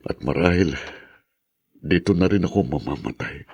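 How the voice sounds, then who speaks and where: Bitter, despairing prisoner, low voice. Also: male voice, animated, normal speed, creaky, darkish tone, husky, muffled recording, heavily acted. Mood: bitter, resigned. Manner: brooding, anguished. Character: embittered, despairing man long imprisoned for a crime. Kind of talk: melodramatic radio drama acting.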